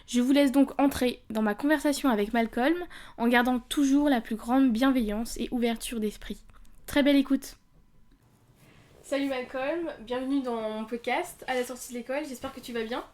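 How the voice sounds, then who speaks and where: A woman's voice: sits high, normal speed, darkish tone. A woman hosting a podcast, reading a scripted introduction and then interviewing a guest politely. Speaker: podcast host.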